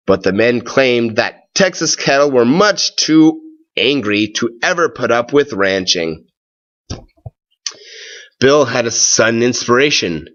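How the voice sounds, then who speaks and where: A man reads a tall tale aloud as a storyteller, at a slow pace and in a medium-pitched voice. He phrases things deliberately and dramatically. The recording is a little dull. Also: a bit bright. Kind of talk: expressive storybook narration.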